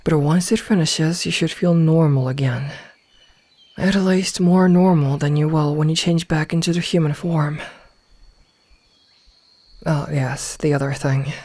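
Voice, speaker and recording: Soft, soothing motherly werewolf played by a woman. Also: sits low, steady tone, no room sound. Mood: calm, explaining. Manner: soothing, reassuring, unhurried. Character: gentle motherly female werewolf guiding a newly turned packmate. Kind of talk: soft ASMR roleplay.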